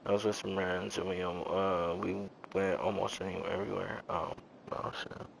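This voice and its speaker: A man's voice: sits low, little pitch movement, normal speed, gravelly, a little hiss or hum, poor audio. A man chatting casually as a live streamer, rambling and swearing in loose slang. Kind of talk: casual gaming livestream chat. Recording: game music behind the voice. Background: video game music.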